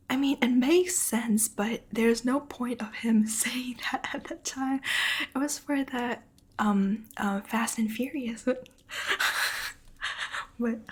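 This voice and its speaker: A woman from Taiwan, a Mandarin speaker, chatting to her stream viewers in a soft, breathy ASMR whisper, giggly and hesitant with many ums. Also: a little high. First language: Mandarin Chinese. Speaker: VTuber streamer.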